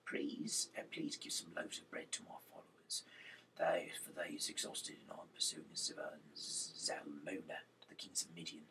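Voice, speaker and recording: Very deep, gravelly, whispery man. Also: sharp tone, distant mic, rough recording. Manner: nonstandard grammar, stumbles over names. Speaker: reader of Bible chapters. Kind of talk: amateur reading aloud of scripture.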